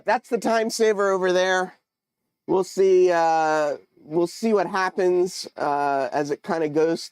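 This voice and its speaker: A man's voice: fairly high pitch, smooth, no room sound, clean studio sound. Congested man hosting a livestream. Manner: halting, many fillers, chatty with viewers. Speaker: livestream host. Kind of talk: casual livestream chat. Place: home model railroad room.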